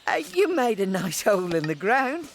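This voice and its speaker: A patient, good-hearted village boy in a period audio drama. The voice is lively and varied yet gentle and encouraging, with faint noise behind it. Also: medium pitch, unhurried. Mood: patient, helpful. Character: kind, patient, diligent village boy named Denton. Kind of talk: historical audio drama acting.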